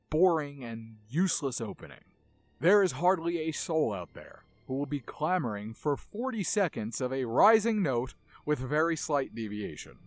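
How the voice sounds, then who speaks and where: Expressive man narrating, with lively pitch. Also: no echo. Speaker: host of a video game music video essay. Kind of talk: scripted video essay narration. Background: music under the voice.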